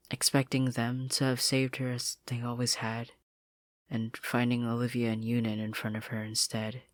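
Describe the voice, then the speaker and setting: A woman reads fiction aloud as scripted narration. Her voice is extremely low for a woman. The recording is close and dry, with very little room in it. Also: no echo. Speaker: fan fiction reader. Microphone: close USB microphone.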